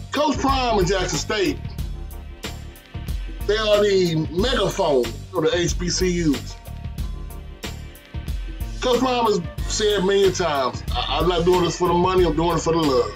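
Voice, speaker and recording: A man giving opinionated sports commentary in an emphatic way, pausing for effect. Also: high voice. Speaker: sports commentary show host. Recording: music bed under voice. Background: background music.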